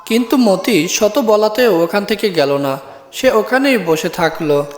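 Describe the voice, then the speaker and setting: A man's voice: sits high, expressive, a little slow, echoey room, big-room sound. A man narrating a scripted cartoon story.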